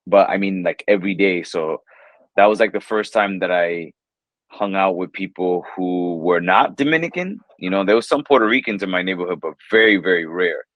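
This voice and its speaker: A man's voice: low-pitched, normal speed, no room sound, lo-fi sound. A man in his thirties with a New York accent, an English teacher chatting casually in an interview, rambling with lots of fillers. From New York, USA. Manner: relaxed, rambling, lots of "like" and "you know".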